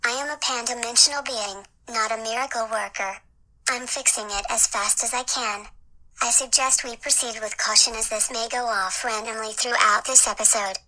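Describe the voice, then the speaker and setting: A woman performs a semi-sentient female android with a very bright, crisp-edged voice on the high side. The delivery is stiff, formal and deadpan, like a testy, literal machine in a comedy podcast sketch. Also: normal speed, rough recording. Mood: dry, testy.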